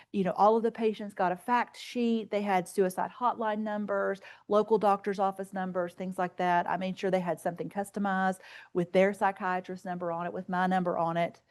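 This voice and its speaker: A woman's voice: mid-range voice, darkish tone. A woman with a mid-range voice gives a healthcare conference talk. She speaks in an earnest, plain-spoken manner, moving between stories and results in informal language. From rural area. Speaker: healthcare presenter.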